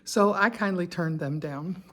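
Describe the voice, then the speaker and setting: A woman's voice: on the low side, measured pace, slight background noise. Chatty woman in her sixties. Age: 60s. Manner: chatty and candid, laughs often; conversational with frequent fillers and asides. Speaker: lifestyle vlogger. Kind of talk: personal reflective vlog.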